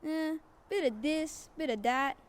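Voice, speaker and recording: A man's voice: very high voice, unhurried. An actor voicing a scrappy young street boy in the Wild West, with a cheeky drawling twang. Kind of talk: audio drama acting.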